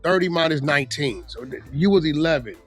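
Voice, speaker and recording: A man's voice: fairly high pitch, expressive, fairly quick, slightly rough. Blunt slangy man, music underneath. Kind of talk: casual opinionated chat about street gossip.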